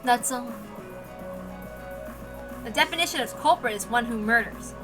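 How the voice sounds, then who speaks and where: A woman's voice: on the high side, expressive, unhurried. A woman reading a visual novel aloud as dramatic scripted narration. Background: music.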